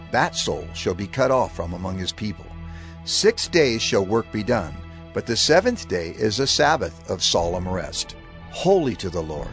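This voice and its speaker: A man giving a solemn, scripted scripture reading in a measured, declarative manner. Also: medium pitch. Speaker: scripture reader.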